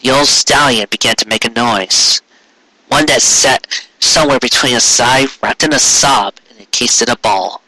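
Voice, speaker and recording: A man's voice: mid-range voice, normal speed, sharp tone, no room sound, rough recording. A man narrating a fan fiction story in a dramatic amateur reading style.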